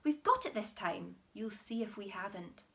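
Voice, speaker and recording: A woman voicing an excitable, impatient father who talks in a high, brusque way. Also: moderate pace, vocal fry. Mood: excited.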